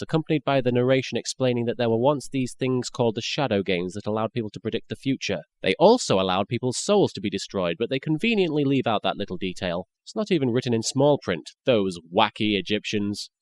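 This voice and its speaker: A man narrating a scripted comic review as a sarcastic reviewer, with mock-incredulous asides. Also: medium pitch.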